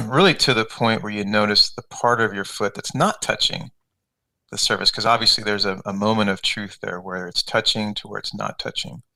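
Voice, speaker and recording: A man leading a guided meditation as a coach, giving unscripted, conversational instructions step by step with gentle repetition. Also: fairly low pitch, normal speed, gravelly, low-quality recording.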